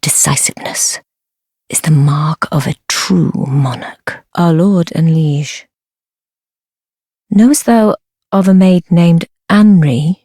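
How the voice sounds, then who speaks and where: A woman playing a sly, devoted noblewoman in a dark fantasy game, speaking formal archaic English in a low, breathy, measured voice. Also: no echo, studio quality, exaggerated voice. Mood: grave, scheming. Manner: measured, formal archaic speech, deferential yet commanding. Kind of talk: fantasy video game acting.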